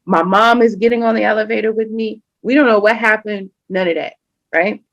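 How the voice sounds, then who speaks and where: A woman guest on a podcast, telling a personal story in an animated, emphatic way and often acting out what people said. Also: mid-range voice, a little fast, no echo. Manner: animated, emphatic, acts out quoted speech. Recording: dull lo-fi sound.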